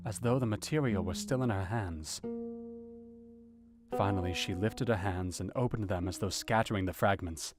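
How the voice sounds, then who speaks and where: Male doctor actor, diary narration for audio drama: lowish pitch, anxious, formal, measured, over prominent guitar music. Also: close to an everyday voice. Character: grave, anxious, educated male doctor recounting his diary.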